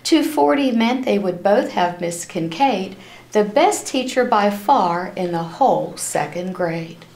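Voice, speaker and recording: Woman, children's read-aloud, unhurried pace, expressive storytelling, medium pitch, roomy sound. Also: vocal fry. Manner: animated, acts out characters' lines, pauses to ask listeners questions. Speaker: reader of a children's picture book. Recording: roomy, echoing room sound.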